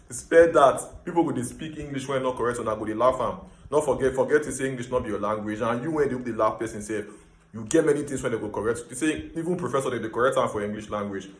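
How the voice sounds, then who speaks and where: A Nigerian man with a Nigerian accent, hosting a casual explainer monologue and switching between Pidgin and English with emphatic, animated delivery. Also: on the low side, echoey room. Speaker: host.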